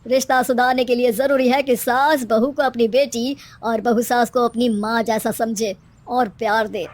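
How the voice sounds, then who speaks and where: A woman voicing a calm, knowing male holy man who pronounces advice in a measured way in an animated story. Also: a little high, natural voice. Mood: wise, gentle.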